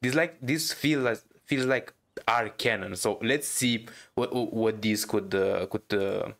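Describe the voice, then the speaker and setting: A man's voice: fairly low pitch, a little slow, vocal fry, a bit bright. A young man giving casual gaming commentary, informal, often stammering and repeating his words. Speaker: gamer, streamer. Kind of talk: casual game reaction commentary.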